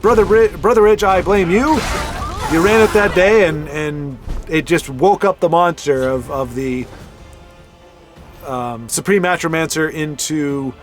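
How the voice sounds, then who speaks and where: Brief: male card-game caster, slightly high voice, rambling and self-correcting, casual play-by-play. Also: a little slow, faint music behind. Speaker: card game commentator and show host.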